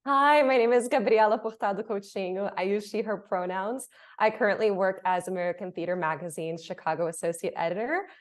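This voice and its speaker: A woman's voice: mid-range voice, a little fast. A woman from Brazil speaking as a panelist on a video call, introducing herself and her views. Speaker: panelist, theater artist and editor.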